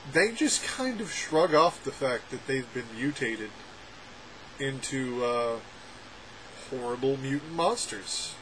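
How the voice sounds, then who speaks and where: A young adult man reviewing a film from a room at home, talking sarcastically with animated, exaggerated outbursts. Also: mid-range voice, slight background noise, low-quality recording. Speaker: movie reviewer. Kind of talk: casual ranting film review. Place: home room with packed shelves, indoors. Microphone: webcam framing at desk.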